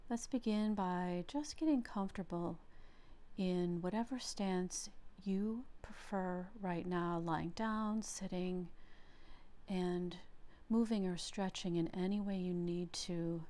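A woman leading a guided meditation as a therapist, speaking gently in short phrases with long pauses. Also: fairly low pitch.

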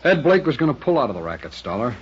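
A man's voice: mid-range voice, sing-song, quick pace, breathy edge. An actor playing an earnest man fighting the town's rackets in an old radio drama. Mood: grim. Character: earnest male town lawyer fighting the rackets. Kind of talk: 1940s radio acting. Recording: dull lo-fi old radio recording.

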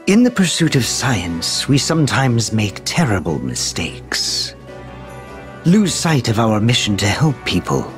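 Formal game scientist: measured, slow, with a wide-swinging pitch. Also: male voice, fairly bright tone, heavily acted. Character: scheming male scientist seeking atonement. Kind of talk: video game voice acting.

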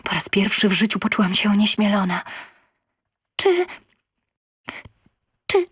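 A woman's voice: mid-range voice, little pitch movement, fast, a bit bright, slightly rough, no room sound. Woman reading a story, dry close sound. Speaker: story reader. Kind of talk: scripted first-person narration.

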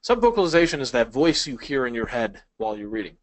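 Man, 30s, casual online tutor, middle-pitched, loose and chatty delivery with fillers, headset mic. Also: sing-song, quick pace. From Chicago, United States. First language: Polish. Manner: casual, conversational teaching with frequent fillers. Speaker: speed reading instructor. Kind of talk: online video lesson.

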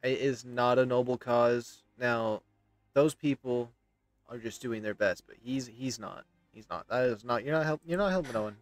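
A man's voice: mid-range voice, moderate pace, dry recording. A man in his thirties doing casual, rambling, hesitant gameplay commentary and reading game dialogue aloud as he streams. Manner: rambling, hesitant, self-interrupting.

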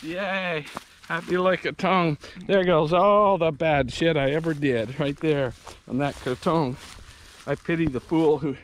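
A man's voice: fairly high pitch, steady tone, measured pace. A man casually narrating a vlog outdoors on a rural farm, in an easygoing, joking way. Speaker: vlogger. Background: faint rural outdoor ambience.

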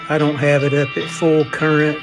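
A man giving casual, unscripted hands-on repair narration in a halting manner, often pausing mid-phrase. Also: fairly high pitch, little pitch movement, over music. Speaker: radio restoration hobbyist.

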